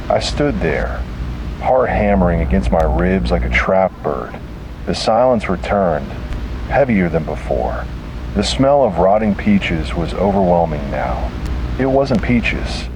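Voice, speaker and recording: Male horror narrator, very low register, dramatic and deliberate, on a noisy found-tape recording. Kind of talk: scripted first-person horror narration.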